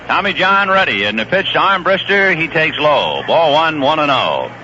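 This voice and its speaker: A man calling a baseball game play by play on the radio, chatting easily about the players between pitches. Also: moderate pace, slight background noise. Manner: relaxed, conversational storytelling between pitches. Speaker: play-by-play announcer. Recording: dull lo-fi 1970s radio broadcast.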